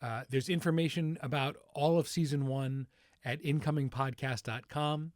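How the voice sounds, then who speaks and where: A man's voice: medium pitch, steady tone, normal speed, no room sound, clean studio sound. A man hosting a podcast, speaking informally and directly to his listeners in an outro. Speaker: podcast host.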